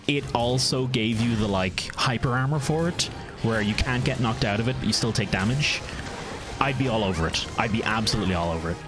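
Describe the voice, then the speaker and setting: A relaxed Irish man with a Meath accent talks through his gameplay at a mid-range pitch, wandering off mid-sentence and picking the thought back up. Clear game music plays behind him the whole time. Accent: Irish, County Meath. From Ireland. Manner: easygoing, rambling, answers chat, frequent restarts. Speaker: game streamer. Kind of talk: casual live-stream chat while gaming.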